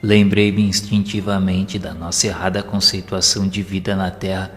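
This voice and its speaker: An actor with a Brazilian accent playing a humble, reflective disciple who narrates an audio drama in formal, respectful literary language. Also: male voice, low-pitched, level tone, moderate pace, music in the background, close to an everyday voice. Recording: long hall-like reverb on voice.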